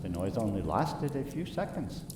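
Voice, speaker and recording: A man playing an easygoing French gentleman witness in a radio play, theatrical and unbothered as he recalls events. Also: on the low side, sing-song, moderate pace. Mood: easygoing, obliging. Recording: echoey distant room, faint noise.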